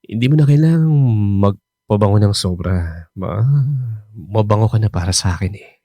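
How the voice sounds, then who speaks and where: A lowish male voice plays a lovestruck older father in a radio drama. He is earnest and tender, with halting, stammering phrases. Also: dark tone, no echo. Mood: flirtatious, playful.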